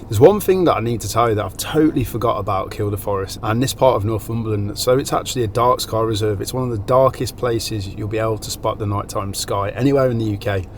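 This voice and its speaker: A man's voice: lowish voice, fast talker, few pauses, slight background noise. A man chatting casually to camera outdoors as a travel vlogger, upbeat and loose with fillers. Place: outdoors on a road trip.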